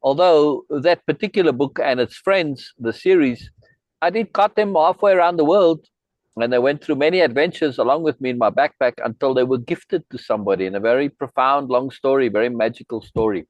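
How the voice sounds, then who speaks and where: A man's voice: medium pitch, brisk, no room sound, muffled recording. A middle-aged man from South Africa, hosting a video call and chatting in a friendly, rambling way, full of asides and restarts. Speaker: host. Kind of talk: casual chat on a video meeting.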